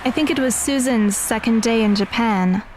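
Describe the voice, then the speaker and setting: A woman's voice: a little high, normal speed, natural voice. An actress playing a guarded, serious, bitter teenage girl in an audio drama. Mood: brooding. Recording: music behind the voice.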